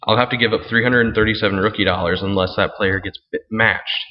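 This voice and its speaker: Guy with a deep voice doing an informal how-to walkthrough, really reverby room. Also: fairly even intonation, quick pace. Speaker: fantasy football league commissioner. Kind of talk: casual screen-share tutorial, explaining step by step. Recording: muffled, boomy room with long reverb.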